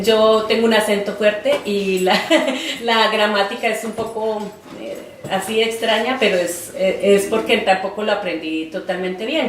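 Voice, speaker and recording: Colombian woman, distant and roomy. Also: medium pitch. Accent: Colombian Spanish. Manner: reflective, halting, with many fillers. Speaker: interviewee, artist. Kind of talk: oral history interview. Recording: roomy, distant mic, faint noise.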